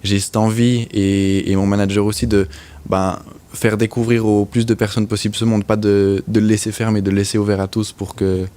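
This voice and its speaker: A young man with a Swiss French accent answering interview questions in an earnest, steady way, using lots of little fillers. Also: on the low side, normal speed. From French-speaking Switzerland. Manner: earnest, steady, with frequent "ben" and "on va dire". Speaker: motorcycle racer guest.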